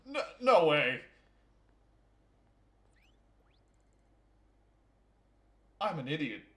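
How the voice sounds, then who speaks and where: A man's voice: fairly high pitch, sing-song, moderate pace, fairly bright tone, roomy sound. A man voicing an earnest, awkward teenage boy with hesitant delivery in a video game fandub. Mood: startled, flustered.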